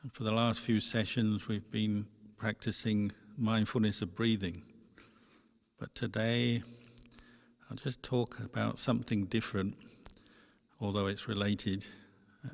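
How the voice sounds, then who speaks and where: A man who is a Buddhist monk leading a guided meditation, instructing gently and in a measured way with pauses. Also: fairly low pitch, flat delivery, vocal fry. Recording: echoey hall with long reverb.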